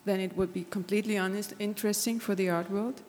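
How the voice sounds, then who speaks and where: Woman artist speaking flatly on a panel. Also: mid-range voice, quick pace. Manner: searching, hesitant, with many restarts. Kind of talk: panel discussion. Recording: long hall reverb.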